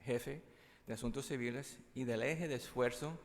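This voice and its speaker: A man's voice: medium pitch, moderate pace. A man from the United States opening a formal conference presentation, polite and courteous, modest about his own Spanish. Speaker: military conference speaker. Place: large conference hall. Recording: long hall reverb.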